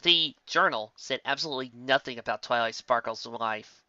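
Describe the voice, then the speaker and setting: A narrator giving an expressive storytelling reading of fan fiction. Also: medium pitch, moderate pace, dry recording. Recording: dull, muffled home recording.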